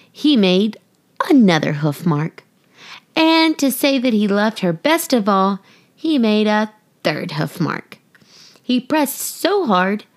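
Female children's storyteller and host. Very animated intonation, unhurried delivery, speaks directly to young kids. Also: mid-range voice. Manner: addresses young children directly, expressive and deliberate. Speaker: podcast host reading a children's picture book.

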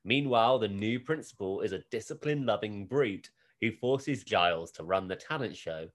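Playful co-host, very animated intonation. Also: mid-range voice, moderate pace. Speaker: podcast co-host. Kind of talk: casual banter about a TV episode.

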